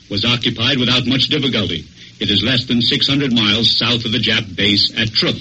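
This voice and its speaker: A man's voice: medium pitch, pushed and bright. An American man, a military officer, reading a formal scripted war review in 1940s radio news style. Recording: faintly noisy 1940s radio broadcast.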